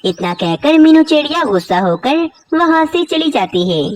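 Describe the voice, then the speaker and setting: Lively high-voiced woman storyteller. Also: normal speed, far from the mic. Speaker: narrator. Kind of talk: scripted children's story narration.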